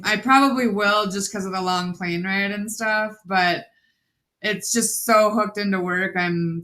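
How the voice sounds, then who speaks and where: A woman's voice: lowish voice, bright, forceful tone. A woman chatting casually on a group video call, talking in a chatty, self-interrupting way. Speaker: call member.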